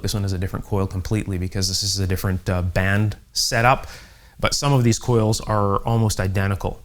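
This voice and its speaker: A man giving an unhurried, methodical hands-on electronics repair demonstration, with conversational asides as he explains each step. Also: deep voice. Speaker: host, electronics repair instructor. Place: indoor electronics workshop.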